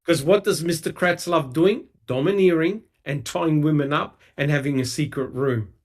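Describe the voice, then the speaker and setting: A man's voice: on the high side, normal speed. A man co-hosting a podcast, talking heatedly and emphatically, swearing and mocking people with quoted lines. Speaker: podcast co-host. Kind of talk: casual true-crime discussion.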